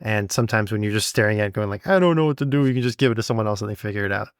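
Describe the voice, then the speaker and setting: A man's voice: fairly low pitch, racing, clean tone, no room sound, studio quality. A man co-hosting a casual behind-the-scenes podcast, talking informally and repeating words as he thinks aloud. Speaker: podcast co-host and sound designer.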